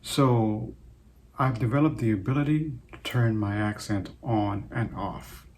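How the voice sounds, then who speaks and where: A man in his sixties, originally from Trinidad, hosting his own show and speaking in an unhurried, reflective way with many pauses. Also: fairly low pitch, darkish tone, a little hiss or hum. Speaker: host. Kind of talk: casual personal talk to camera.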